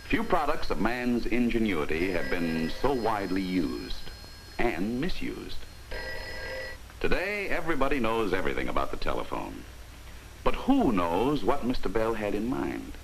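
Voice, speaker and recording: An American man delivers 1950s training film narration in a very low voice. His intonation swings widely, with wry, folksy emphasis. A faint hiss from the old film soundtrack runs behind him. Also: huge pitch swings, measured pace, vocal fry.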